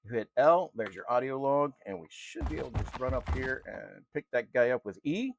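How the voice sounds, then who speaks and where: A man presenting an unscripted software tutorial in an informal, halting, step-by-step way. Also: medium pitch, lively intonation, gravelly, background noise. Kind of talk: unscripted screen-recorded walkthrough.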